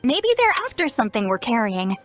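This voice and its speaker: A woman's voice: fairly high pitch, very animated, a little fast, a bit bright, soft background music. An actress playing a cocky, blunt young female shaman in a video game, speaking in a self-assured, brusque, explanatory way. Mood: wary, pondering. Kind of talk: animated video game voice acting.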